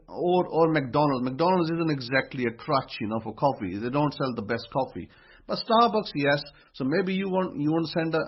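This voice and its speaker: Male teacher, husky and a bit high-pitched, repetitive and emphatic, dull lo-fi sound. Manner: emphatic, repeats phrases while building a point. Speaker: religious teacher. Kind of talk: motivational religious talk. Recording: dull lo-fi recording.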